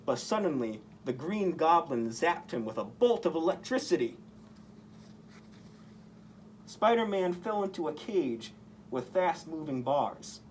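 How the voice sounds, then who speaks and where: Animated man reading a children's story. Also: on the high side, normal speed, slight background noise. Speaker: costumed story-time reader in superhero persona.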